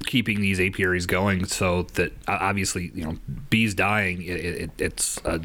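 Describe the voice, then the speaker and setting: A man's voice: low voice, steady tone, moderate pace, sharp tone. A man co-hosting a casual podcast chat, talking up a program with some hesitant repeats. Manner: promotional, hesitant, repeats words. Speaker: podcast co-host.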